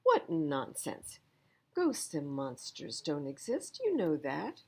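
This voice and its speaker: A woman's voice: low-pitched, huge pitch swings, slow, slight background noise, full character voice. A woman voicing a grumbling, skeptical male pig in a children's storybook, dismissive in manner.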